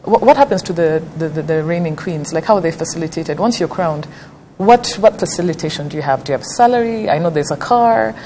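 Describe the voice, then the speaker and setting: A woman's voice: on the low side, expressive, quick pace, hardly any pauses, mellow, a little hiss or hum, rough recording. A woman hosting a panel talk show, asking questions with many fillers and restarts. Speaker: host.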